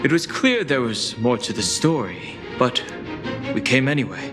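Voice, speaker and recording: An actor playing a composed young man who speaks in a calm, measured way, in a game. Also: mid-range voice, lightly acted. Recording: game audio with music. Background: game music.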